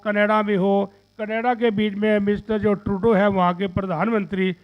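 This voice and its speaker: Older man in his 60s, high-pitched, rambling earnest press appeal, dull lo-fi recording. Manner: rambling, repeats phrases while making an impassioned appeal. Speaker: social activist and businessman giving a media statement.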